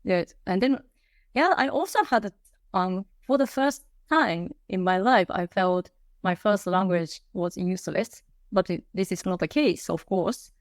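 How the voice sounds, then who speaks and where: A woman's voice: mid-range voice, a little slow, dry recording. A Japanese woman whose first language is Japanese, chatting as a podcast guest, hesitant and restarting her phrases with light laughs. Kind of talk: casual conversation. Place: Melbourne, Australia.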